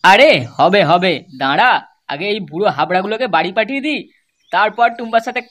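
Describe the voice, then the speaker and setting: A woman's voice: medium pitch, lively intonation, fast, fairly bright tone, close to an everyday voice. A woman voicing brash young village troublemakers in an amateur cartoon comedy, scolding crudely and swearing constantly. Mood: scheming, bossy.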